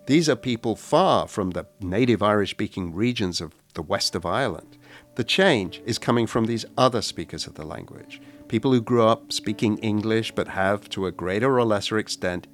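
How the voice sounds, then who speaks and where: A man hosting a radio documentary, narrating in a measured, reflective way and posing questions. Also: mid-range voice. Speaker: radio host.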